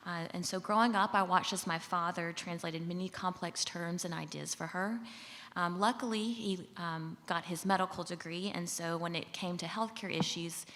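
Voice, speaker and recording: A woman from Mississippi with a Southern accent, a government official giving a relaxed, friendly conference talk with fillers. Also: lowish voice, moderate pace. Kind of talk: conference presentation. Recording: long hall reverb.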